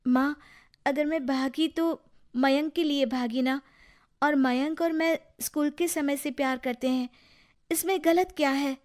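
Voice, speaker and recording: Woman voicing an earnest high-pitched young bride. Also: fairly even intonation, measured pace, darkish tone. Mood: pleading, defiant. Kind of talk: animated story acting.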